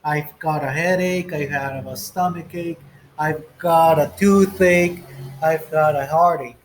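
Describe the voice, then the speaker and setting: A man's voice: a little high, fairly even intonation, slow pace, a little hiss or hum. A man teaching an English lesson, going through textbook exercises step by step and reading the example phrases aloud.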